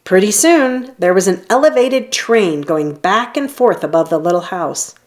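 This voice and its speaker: Woman reading a storybook with lively pitch. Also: unhurried. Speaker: storybook reader. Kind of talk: children's read-aloud narration.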